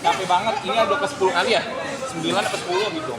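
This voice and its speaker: A man's voice: high-pitched, fairly even intonation, rapid-fire. A man bantering in joking, colloquial slang while walking out through a scrum. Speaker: defendant. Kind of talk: casual chat. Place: crowded hallway. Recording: echoey, dense crowd.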